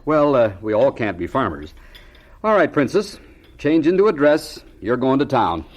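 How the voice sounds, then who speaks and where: A man's voice: medium pitch, big pitch swings, normal speed. A man playing a genial, teasing farmer father in a radio drama, with an easygoing, slightly hesitant folksy delivery. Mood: fond, teasing.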